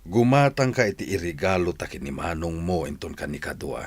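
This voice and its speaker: A man's voice: low-pitched, fairly quick, slightly rough, no echo. A man with an Ilocano accent playing a kindly, supportive older uncle in a radio drama. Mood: kind, coaxing. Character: kindly, supportive older uncle raising his nephew and niece.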